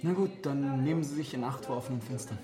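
Man doing officious cop in a radio play, dry, formal, medium pitch, people chattering behind. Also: quick pace, crowd noise, close to an everyday voice. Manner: formal, sceptical. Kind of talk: naturalistic radio drama.

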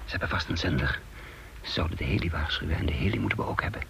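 An actor playing a tense commando leader who narrates a night raid and gives clipped, hushed orders in a radio play. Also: male voice, low voice, animated, very fast, creaky, slight background noise, heavily acted. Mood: tense, commanding.

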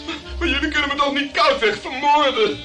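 An actor playing a boastful, self-pitying private detective in a radio play, theatrical and apt to stammer. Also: male voice, very high voice, fairly quick, pushed and bright, soft background music. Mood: frightened pleading. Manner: theatrical, blustering, stammering when cornered.

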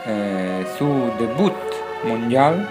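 A male radio presenter with a Spanish accent speaks at a slow pace. He hesitates and searches for words, slipping between languages. Music plays clearly behind him. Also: mid-range voice, sing-song. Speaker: radio host. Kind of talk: live radio presenting in halting Italian mixed with Spanish.

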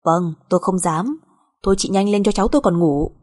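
A woman's voice: lowish voice, fairly quick, darkish tone, natural voice. A woman voicing a stern older mother-in-law who is curt, demanding and sarcastic in an audio drama. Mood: cold, sarcastic.

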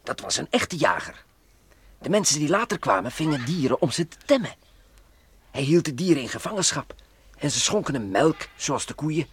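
An actor playing a bossy, admonishing space adventurer who lectures and grumbles in a children's radio play. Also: male voice, on the high side, very animated, moderate pace, breathy edge, exaggerated voice. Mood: lecturing. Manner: didactic, admonishing.

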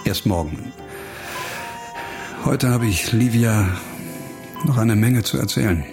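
An actor playing a hard-boiled doctor turned investigator in a German crime radio drama, ruthless yet remorseful. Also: male voice, lowish voice, slow pace, gravelly. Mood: subdued, tender. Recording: music bed under dialogue. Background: music.

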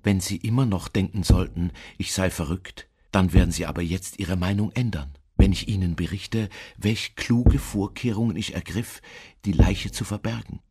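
An actor playing an obsessive, mad murderer who insists he is sane, confessing in a dramatic radio monologue with hushed intensity and deliberate emphasis. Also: deep voice, a little hiss or hum. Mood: coldly boastful.

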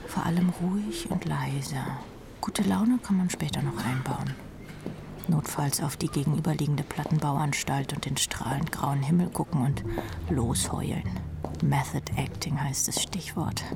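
An actress playing a jaded, sardonic rock drummer woman who confides her thoughts in a breathy murmur in a radio drama. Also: low voice, unhurried, noisy background. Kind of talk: radio drama inner monologue. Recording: music behind the voice.

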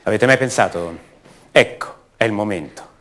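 An actor playing an ordinary, self-doubting man back home, speaking a halting, conversational monologue in a radio drama. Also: lowish voice, a bit bright, natural voice. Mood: questioning, uneasy. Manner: halting, conversational, rhetorical questions to the listener.

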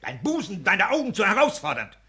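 An actor in a 1960s German radio play playing a petty, tyrannical civil servant husband, pompous, pedantic and self-pitying in a declamatory manner. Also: male voice, sits high, wildly swooping pitch, a little fast, sharp tone, husky, heavily acted. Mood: indignant ranting.

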